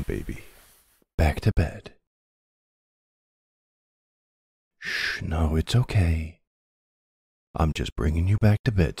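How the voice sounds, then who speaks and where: A man playing a tender mafia boss husband and doting father in an intimate ASMR roleplay, speaking softly with hesitant pauses in a gravelly, husky voice. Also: sits low, no echo, clean studio sound, heavily acted. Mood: gentle, hushed. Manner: soft, unhurried, hesitant pauses.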